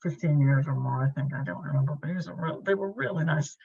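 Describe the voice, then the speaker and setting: A middle-aged woman chatting casually and apologetically as she hosts an online club meeting from a bedroom office, with a husky voice. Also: low-pitched, fast, mellow. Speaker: club meeting host, filling in for the president. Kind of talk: casual pre-meeting chat on a video call. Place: bedroom used as a home office. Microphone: video call. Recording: dull, lo-fi video call audio.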